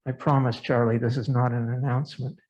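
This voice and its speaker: A man's voice: mid-range voice, level tone, a little fast, a little mellow, dull audio. A congregant man sharing a serious concern in a church service, speaking earnestly and with emphasis. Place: church sanctuary.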